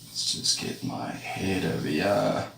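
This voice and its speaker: A low-voiced man chats in a loose, rambling way with his viewers as he draws, with a slight congestion from a cold. The sound has a roomy echo, with a faint hum of noise behind it. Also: slow, vocal fry. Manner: relaxed and rambling, talks to viewers while drawing. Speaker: art streamer. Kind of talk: casual live-stream chat while drawing. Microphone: streaming setup with a camera facing him. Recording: echoey room with faint background noise.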